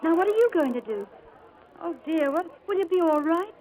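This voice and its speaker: A woman's voice: very high-pitched, expressive, normal speed, low-quality recording. An actress in a 1940s-style radio drama playing a kind, chatty young showgirl, coaxing and protective. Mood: bright, coaxing. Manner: bubbly, coaxing, gently reassuring. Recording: old radio broadcast recording.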